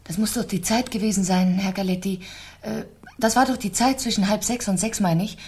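An actress playing a cool, defensive widow under suspicion in a 1970s German radio crime play, haughty and guarded. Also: female voice, medium pitch, a little fast, a little mellow, husky. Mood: urgent, explaining.

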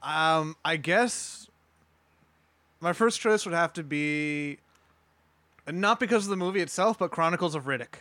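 A man's voice: on the high side, unhurried, pauses often, bright, forceful tone, dry recording. A man chatting casually as a panelist on a group podcast.

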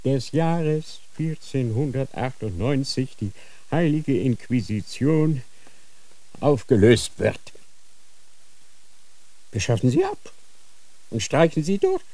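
A man acting a dying old Grand Inquisitor in a radio drama, dictating slowly and solemnly in a feverish state. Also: medium pitch, wildly swooping pitch, warm tone, no room sound, poor audio. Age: older. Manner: slow, solemn dictating with sudden feverish outbursts.

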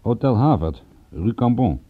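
A man's voice: mid-range voice, very animated, measured pace, breathy edge, phone-quality sound. An actor playing a wry, teasing male private detective with dry banter in a radio drama. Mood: businesslike. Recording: band-limited old radio play recording.

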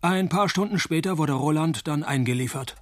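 An actor playing a cynical, wisecracking male private detective in a radio play, narrating and talking in a dry, laconic deadpan. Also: fairly high pitch, moderate pace, lightly acted. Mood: dry, sardonic.

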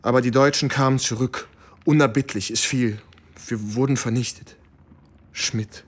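An actor playing a shaken American soldier who recounts wartime horror gravely and haltingly in a German radio play. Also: male voice, mid-range voice, fairly even intonation, natural voice. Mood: bitter, weary. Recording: studio radio drama with music underneath.

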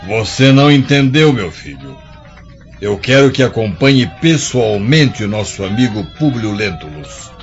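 A man's voice: on the low side, big pitch swings, measured pace. An actor playing a stern Roman emperor and father, giving orders formally and with authority in a radio drama. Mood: commanding. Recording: dull lo-fi radio drama with music.

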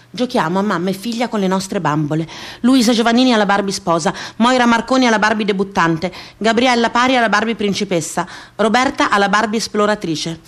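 A woman performing a scripted theatrical monologue for radio, deliberately repeating phrases like an incantation. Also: medium pitch. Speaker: actress performing her own theatrical monologue.

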